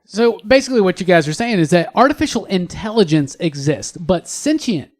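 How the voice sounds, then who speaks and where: A man with a notably high voice moderates a podcast discussion. He talks casually and inquisitively, framing questions for the others and checking that they agree. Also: expressive, a little fast. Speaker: podcast host.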